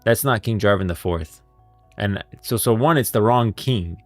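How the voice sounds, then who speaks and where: Man, very low pitch, casual streamer commentary, rambling and emphatic, music in background. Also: moderate pace, no room sound. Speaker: livestream host. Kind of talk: casual lore commentary to viewers. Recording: music playing behind.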